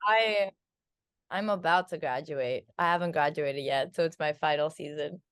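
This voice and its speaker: A woman's voice: sits low, moderate pace, clear, resonant tone. A woman in her twenties from Turkey, chatting casually in an interview, her talk full of "like" and "um". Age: 20s. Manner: chatty, filled with "like" and "um". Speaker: singer being interviewed.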